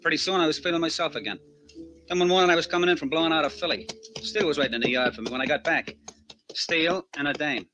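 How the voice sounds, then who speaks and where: An actor playing a guarded, tough young racetrack loner in old-time radio drama, speaking in terse, slangy, clipped phrases. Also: male voice, a little high, quick pace, noisy background, close to an everyday voice. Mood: hard-boiled narration. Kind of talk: old-time radio drama acting, hard-boiled narration.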